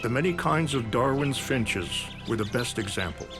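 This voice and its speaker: A man giving scripted, measured documentary narration as a studio voice-over. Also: medium pitch, expressive. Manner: measured, explanatory. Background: music.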